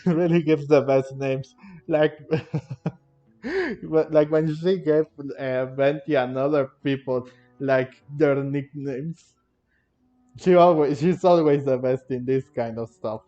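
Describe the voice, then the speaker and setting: Slow-talking, higher-pitched male gamer. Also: dark tone. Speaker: gaming video host. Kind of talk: casual game playthrough commentary. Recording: dull lo-fi microphone sound. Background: faint game music.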